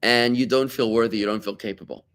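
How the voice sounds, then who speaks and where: A man teaching a Talmud class to students in the room. He is a rabbi, and his delivery is animated and conversational. He often stops to ask rhetorical questions and make quick asides to his listeners. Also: lowish voice.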